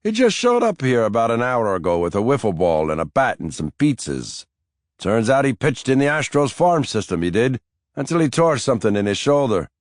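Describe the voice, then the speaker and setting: A man's voice: medium pitch, big pitch swings, fairly quick, no echo, clean studio sound, voice pushed up, close to an everyday voice. A man putting on a wry old grandfather. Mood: chatty. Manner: chatty, lilting, mischievous. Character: elderly Irish grandfather, wry and teasing.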